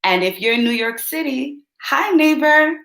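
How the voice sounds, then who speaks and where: A woman's voice: high-pitched, lively intonation, normal speed, a bit bright. A woman reading a picture book aloud to young children, turning to ask her listeners questions along the way. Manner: speaks directly to young listeners, asks them questions. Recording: roomy echo.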